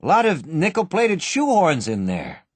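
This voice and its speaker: A man voicing a cool, composed young safecracker who speaks dryly and commands calmly. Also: mid-range voice, normal speed, dry recording, pitched above the performer's own voice. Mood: cool wry.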